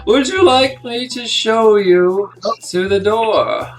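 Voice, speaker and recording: A man voicing a slow, overly proper male butler with a drawn-out, formal delivery. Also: sits high, music in the background, voice pushed up. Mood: solemn.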